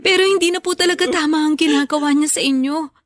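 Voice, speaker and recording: A woman's voice: sits high, fairly even intonation, fast talker, dry recording, clean studio sound, natural voice. A woman playing a hurt but resolute young daughter in a radio drama, earnest, respectful and pleading. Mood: tearful, resolute.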